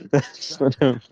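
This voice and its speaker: A man's voice: mid-range voice, mellow, breathy edge, dry recording, muffled recording. A man co-hosting a casual podcast chat, talking in a laid-back, rambling way and laughing often. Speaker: podcast co-host.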